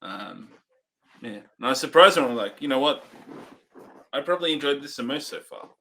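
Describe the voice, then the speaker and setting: A man's voice: mid-range voice, expressive, normal speed, gravelly, pushed and bright, slight background noise, rough recording. A man co-hosting a movie review show, giving his opinion in a casual, hesitant way and searching for words.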